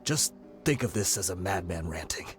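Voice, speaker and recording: A man's voice: sits low, animated, normal speed, over music. An actor playing a weary male mercenary leader who speaks in a brooding, confessional way.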